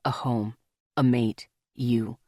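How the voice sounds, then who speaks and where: A woman voicing a brooding, frightened werewolf man who speaks low and haltingly in an audiobook romance. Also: level tone, mellow, no echo, clean studio sound, exaggerated voice. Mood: scared, tender.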